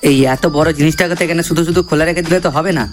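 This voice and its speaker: A man's voice: on the high side, steady tone, racing, lightly acted. A man voicing an eager, crude, loyal henchman in a comedy cartoon. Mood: deferential. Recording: faint music behind the voice.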